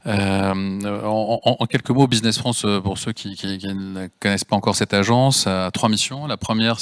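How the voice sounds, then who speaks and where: A man's voice: on the low side, no echo. A man on a panel, speaking in a structured, formal way with frequent restarts. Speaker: panelist, agency department head. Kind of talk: panel discussion.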